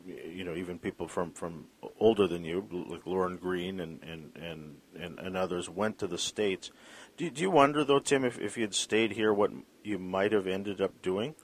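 A man hosting an interview program, reading a long guest introduction and asking questions with many fillers and restarts. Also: low voice. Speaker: podcast host. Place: Vancouver.

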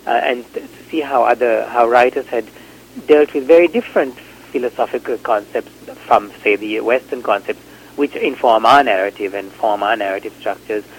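A man's voice: mid-range voice, moderate pace, a little hiss or hum, poor audio. A man from Sri Lanka, a novelist, answering interview questions in a reflective, hesitant way with many fillers and restarts.

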